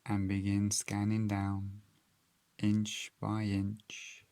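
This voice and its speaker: A man with a low, very even voice leads a guided meditation in a calm, gentle manner. He speaks very slowly, leaving long pauses between measured phrases. The sound is close and dry. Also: no echo.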